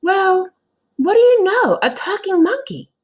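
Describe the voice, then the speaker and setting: A woman's voice: very high voice, big pitch swings, a little slow, pitched above the performer's own voice, heavily acted. A woman voicing a flustered, confused but nice female schoolteacher in a children's storybook, exclaiming with emphasis and disbelief. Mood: amazed, eager.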